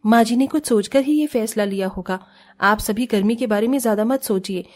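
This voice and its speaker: A woman's voice: on the high side, a little fast, a little mellow, close to an everyday voice. Woman acting a meek, patient daughter-in-law. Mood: resigned, patient. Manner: deferential, patient. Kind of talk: cartoon family drama acting.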